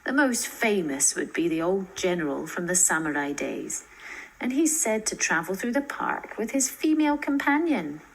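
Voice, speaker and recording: Storybook teenage boy cousin, woman performer: studious, sincere, slightly rough voice. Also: fairly low pitch, sing-song, normal speed, heavily acted, poor audio. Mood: informative. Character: earnest, well-informed teenage boy cousin.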